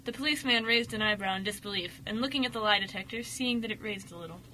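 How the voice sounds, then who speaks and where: Bright, expressive female story narrator. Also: mid-range voice, quick pace, low-quality recording. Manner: expressive, emphatic. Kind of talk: dramatic scripted narration. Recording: faint noise, amateur radio show recording.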